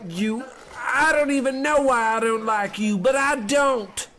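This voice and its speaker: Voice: medium pitch, unhurried, a bit bright, natural voice. An actor playing a brash, jeering office bully who mocks co-workers in an old-time radio comedy style. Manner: jeering, exclamatory. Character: brash, mocking, bullying young postal co-worker.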